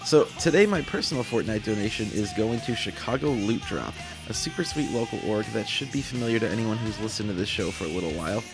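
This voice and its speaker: A man's voice: on the low side, lively intonation, quick pace, hardly any pauses, over music. A man hosting a podcast, reading an introduction and announcements. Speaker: podcast host. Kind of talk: scripted-sounding podcast introduction.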